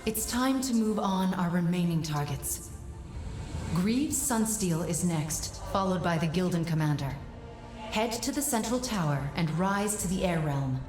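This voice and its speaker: A woman playing a grave advisor in a high fantasy game, explaining things in a measured, earnest way. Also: animated. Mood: grave, resolute. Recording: roomy echo, game music behind.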